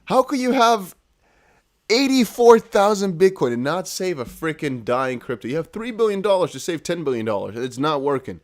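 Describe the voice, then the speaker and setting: Animated Dutch-accented man livestreaming. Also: a little high, normal speed. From the Netherlands. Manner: chatty, emphatic, repeats points, addresses viewers directly. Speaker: crypto news streamer. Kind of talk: casual livestream commentary.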